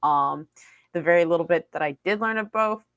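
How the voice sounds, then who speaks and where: A woman's voice: on the low side, a little fast, fairly bright tone, no room sound, muffled recording. A young woman vlogging casually about her language learning, rambling with lots of fillers and restarts. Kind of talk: casual vlog chat. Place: small room indoors. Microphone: desk mic on boom arm.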